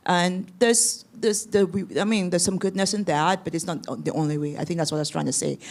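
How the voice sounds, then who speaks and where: A man from Cameroon, a church congregant, speaking earnestly and haltingly, often restarting his sentences. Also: high voice, steady tone.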